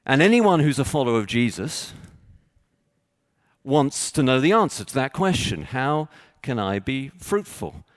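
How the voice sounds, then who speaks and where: A man from Texas with a Texan accent, preaching a sermon with measured, halting delivery and frequent pauses. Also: mid-range voice, sing-song, no echo, studio quality. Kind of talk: sermon with scripture reading.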